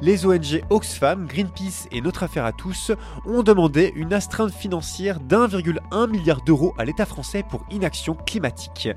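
A man's voice: sits high, expressive, moderate pace, darkish tone, faint music behind. A man hosting a light, chatty radio news show, playful and teasing with hesitant asides.

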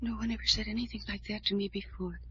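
A woman's voice: mid-range voice, fairly even intonation, quick pace, pushed and bright, slight background noise, natural voice. An actress playing a distraught, breathless young woman convinced she is cursed, in a melodramatic 1940s radio style. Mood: anguished, faltering. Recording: muffled old-time radio recording.

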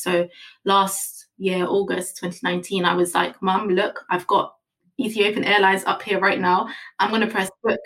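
A woman's voice: mid-range voice, fairly bright tone. A young woman earnestly telling a personal story in a casual conversation.

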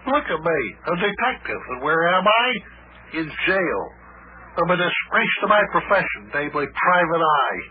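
A man's voice: fairly high pitch, sing-song, moderate pace, phone-quality sound. A man acting a grumbling, self-pitying cab driver turned private eye in an old-time radio comedy, lamenting in a melodramatic way. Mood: woeful. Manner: woeful, melodramatic, repetitive lamenting. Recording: thin, noisy old radio recording.

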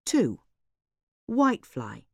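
A woman's voice: medium pitch, huge pitch swings, slow, husky, no room sound, clean studio sound. A woman reading a scripted gardening-magazine style article as an informational narrator.

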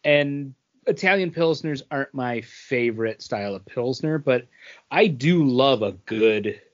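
A man co-hosting a podcast, explaining things casually and haltingly. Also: medium pitch, expressive, muffled recording. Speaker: podcast co-host. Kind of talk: casual chat.

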